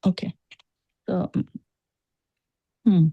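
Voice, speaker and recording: A woman student on a video call answering slowly, hesitating and thinking aloud with hums. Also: dry recording, phone-quality sound. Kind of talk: online class answers.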